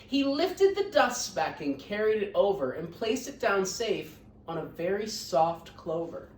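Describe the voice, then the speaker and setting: A woman reading a children's story aloud like a teacher, in an expressive, emphatic storytelling manner. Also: mid-range voice, unhurried, a little hiss or hum. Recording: roomy echo, distant microphone.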